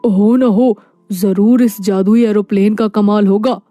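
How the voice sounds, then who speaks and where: A woman voicing a humble, proud poor husband in a moral story, calm and earnest. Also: mid-range voice, unhurried, no room sound, soft background music, lightly acted. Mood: wondering. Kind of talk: animated moral story dialogue.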